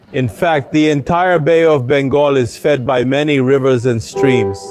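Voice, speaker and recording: Congested male travel vlogger at an airport. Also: medium pitch. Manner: relaxed, chatty, explaining as he goes. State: a bit congested. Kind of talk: casual travel vlog chat. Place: busy airport terminal. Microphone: wireless clip-on mic with noise cancelling.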